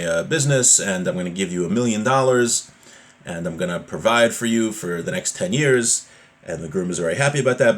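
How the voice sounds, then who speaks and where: A man teaching a religious text in an informal, explanatory way, as if talking a student through it point by point. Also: on the low side. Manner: colloquial, explanatory, with rhetorical questions.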